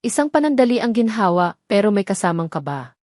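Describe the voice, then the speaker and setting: A woman's voice: mid-range voice, very animated, brisk, darkish tone, no room sound, clean studio sound. A woman reading scripted dramatic story narration.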